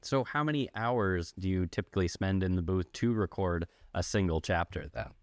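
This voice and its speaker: A man with a very low, clear voice. He speaks as an interviewer, asking questions in a conversational way. The recording is dry and close. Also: moderate pace, dry recording. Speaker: podcast host, interviewer.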